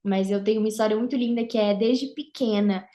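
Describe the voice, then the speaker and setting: A young woman with a Mineiro Brazilian accent, talking through her story as an interview guest. She is reflective and unhurried, with a mid-range voice and a lot of small fillers between thoughts. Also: medium pitch, steady tone. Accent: Mineiro (Minas Gerais) Brazilian Portuguese. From Argentina, raised in Brazil. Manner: warm, reflective, with frequent filler "é" and "né". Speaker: guest, social entrepreneur. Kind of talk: casual interview conversation.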